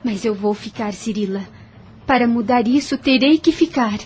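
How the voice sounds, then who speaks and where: An actress playing a proud, determined young heiress in an audio drama, with a Brazilian accent and a theatrical, emphatic delivery. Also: female voice, fairly high pitch, moderate pace, darkish tone, slightly rough. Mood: solemn resolve.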